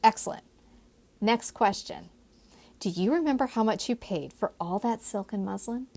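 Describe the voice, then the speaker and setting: A woman voicing a confident, teasing gentleman in a historical romance audiobook, with persuasive, formal phrasing. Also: mid-range voice, moderate pace, vocal fry. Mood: brisk, confident.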